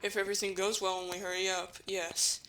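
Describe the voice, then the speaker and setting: A woman's voice: mid-range voice, little pitch movement, brisk, bright, forceful tone, a little hiss or hum, low-quality recording. A woman playing an earnest, determined young human hero who protects friends, in an audio drama. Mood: calm, hopeful. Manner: earnest, firm.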